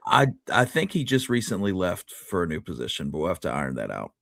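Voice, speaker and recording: Man, lowish voice, talk show co-host, casual and self-correcting, dry close sound. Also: sing-song, creaky, a bit bright, dry recording. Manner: informal, halting, self-correcting. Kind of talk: casual chat.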